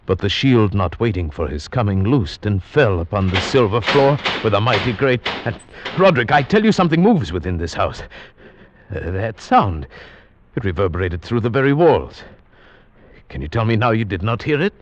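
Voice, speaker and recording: An actor playing an anxious gentleman narrator in a formal, theatrical manner of 1940s radio horror. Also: on the low side, sing-song, dry recording. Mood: alarmed. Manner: formal, theatrical, deliberate storytelling. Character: anxious gentleman narrator, houseguest reading an old tale aloud. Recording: dull vintage radio recording with music.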